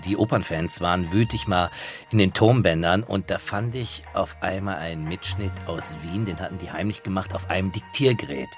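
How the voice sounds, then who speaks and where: A man presenting personal anecdotes in a conversational, enthusiastic storytelling way. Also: sits low. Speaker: presenter and interviewer telling anecdotes.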